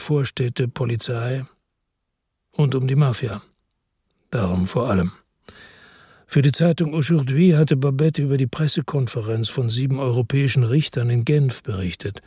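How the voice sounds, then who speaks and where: A man's voice: medium pitch, dark tone, slightly rough, no echo, clean studio sound. A man narrating a radio drama in the first person, brooding and introspective.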